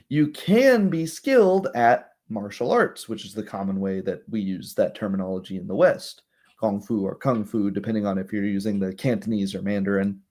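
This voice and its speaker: A young man hosting a livestream with casual commentary, speaking in a rambling, halting way and often checking in with tag questions. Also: mid-range voice, darkish tone. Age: young adult. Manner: rambling, halting asides, frequent "right?" tags. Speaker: livestream host.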